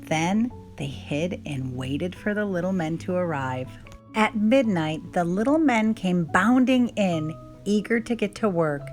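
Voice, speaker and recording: A woman's voice: lowish voice, lively intonation, unhurried, faint music behind. A woman reading a storybook aloud for children as a scripted narrator. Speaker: storybook reader.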